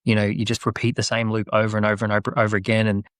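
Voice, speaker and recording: A man speaking as a guest on a podcast, animated and persuasive. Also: on the low side, racing, no room sound, studio quality. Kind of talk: podcast conversation.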